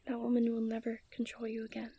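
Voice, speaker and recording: A woman playing a confident, romantic young princess in a soft, intimate ASMR roleplay. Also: fairly high pitch, completely flat delivery, quick pace, slightly rough. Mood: teasing, loving. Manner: soft, intimate delivery that often drops into whispers.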